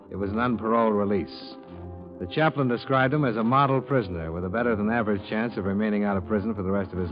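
An actor playing a cool, matter-of-fact insurance investigator in a 1950s radio detective drama. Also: male voice, on the low side, lightly acted. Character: cool, hard-nosed male freelance insurance investigator. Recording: dull vintage radio recording, music behind.

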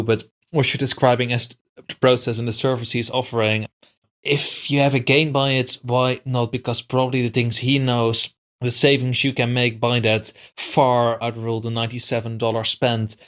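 Flat-voiced male podcast host. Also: mid-range voice. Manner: frequent fillers like uh. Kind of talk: interviewing a guest.

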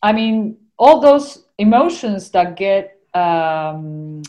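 A woman's voice: medium pitch, lively intonation, very slow, dark tone. Earnest Argentinian woman, rambling. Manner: earnest, rambling, lots of "you know". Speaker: podcast guest. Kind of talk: casual spiritual conversation.

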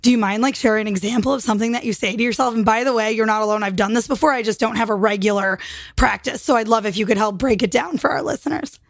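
An upbeat woman hosting a podcast, her voice slightly high. She encourages her guest and throws in the odd swear word. The recording is dry and close, with no room sound. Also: quick pace, vocal fry, no echo. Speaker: podcast host. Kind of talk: casual interview chat.

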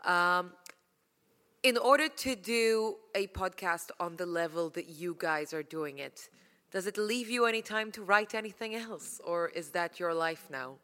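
A woman's voice: on the low side, measured pace, bright, forceful tone. A woman asking a spontaneous question from the audience at a panel. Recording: echoey hall with long reverb.

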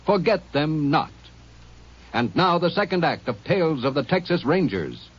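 A male radio announcer makes an earnest, emphatic appeal. His pitch moves a lot and the voice is a touch husky. The old broadcast sounds muffled. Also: big pitch swings, normal speed. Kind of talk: 1950s radio public service appeal.

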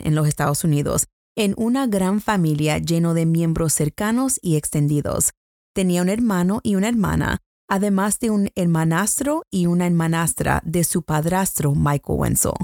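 A woman's voice: fairly low pitch, dark tone, no room sound, studio quality. A woman with an American English accent hosting a true crime podcast, reading her script in Spanish in a careful, earnest way. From United States. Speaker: podcast host.

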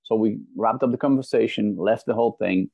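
A man's voice: fairly low pitch, brisk, vocal fry, husky, no room sound. Belgian-born man telling a story. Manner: animated storyteller, repeats words when starting a sentence. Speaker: guest, motivational speaker. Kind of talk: storytelling in a podcast interview. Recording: dry, dull lo-fi sound.

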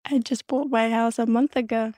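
A woman's voice: on the high side, steady tone, normal speed, a little mellow, no room sound, clean studio sound. A young woman from South Sudan, raised in Kenya and Australia, speaking as an interview guest, reflective and earnest, with frequent restarts. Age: young adult. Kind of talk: candid conversational interview.

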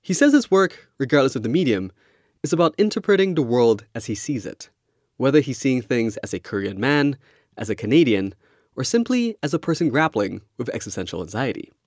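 A man's voice: mid-range voice, very animated, fairly quick, a little mellow, no room sound. A man hosting a podcast, reading scripted narration. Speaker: podcast host and interviewer. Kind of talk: scripted narration with interview chat.

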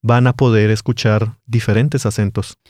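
A man's voice: fairly low pitch, flat delivery, normal speed, darkish tone, no echo, clean studio sound. A man with a Colombian accent, co-hosting an educational podcast and asking quiz-style questions. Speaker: podcast co-host.

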